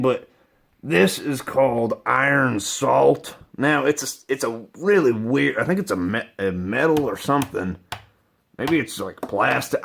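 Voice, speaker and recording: A man with a heavily gravelly, fry-laden voice hosts a casual homemade how-to. His delivery is halting and unsure, rambling along and often trailing off. The pitch sits in an ordinary male middle range. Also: a bit bright. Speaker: host of a DIY video. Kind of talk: casual, improvised how-to demonstration.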